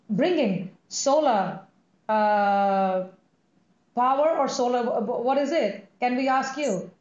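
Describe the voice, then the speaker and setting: A woman's voice: a little high, a little mellow. A woman hosting an interview over a video call, effusive and repeating words as she searches for them. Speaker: host. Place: indoors. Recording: echoey, slightly muffled call audio.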